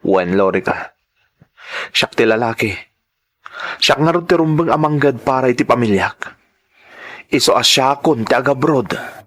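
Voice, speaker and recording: Casting: actor as dutiful working husband, medium pitch, Ilocano accent, grave and insistent, radio drama. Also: male voice, animated, unhurried, long pauses, a bit bright. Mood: resolute. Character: Rodel, protective, dutiful husband and tricycle driver.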